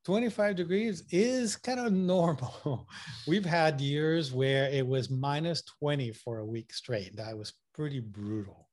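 A man's voice: a little high, darkish tone. A man from Puerto Rico with a mixed Puerto Rican and Massachusetts accent, talking casually as an interview guest, enthusiastic and full of fillers and restarts. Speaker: photographer guest.

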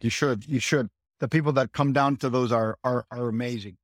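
A man's voice: medium pitch, fairly even intonation, moderate pace, no room sound, studio quality. A middle-aged man from Guatemala with an American accent, a podcast guest speaking earnestly and often restarting his sentences. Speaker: guest, startup city co-founder. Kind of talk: podcast interview.

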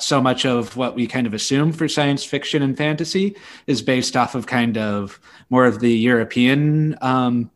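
A man speaks as a podcast co-host at a middling pitch. He talks through book news casually and thoughtfully, pausing and correcting himself as he goes.

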